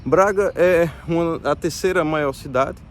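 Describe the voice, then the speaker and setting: A man's voice: fairly high pitch, a little slow, a little hiss or hum. A Brazilian man in his thirties with a Brazilian Portuguese accent, explaining things casually to viewers in a hesitant way, with many fillers and restarts. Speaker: vlogger.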